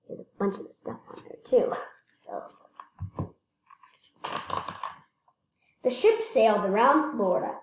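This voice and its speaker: A woman reading a children's picture book aloud, slightly halting, repeating phrases and adding casual asides. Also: on the high side, very animated, whispered. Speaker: read-aloud storyteller. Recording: echoey room.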